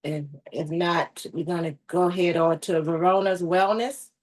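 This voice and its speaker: Brisk woman hosting a video call. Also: on the low side, steady tone, a little mellow. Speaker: networking event host.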